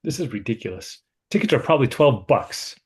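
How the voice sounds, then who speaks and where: A man playing an anxious, straight-laced sceptic in a casual table read. His voice is mid-pitched, and he comes across as polite but easily exasperated. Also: very animated, fairly quick, lightly acted.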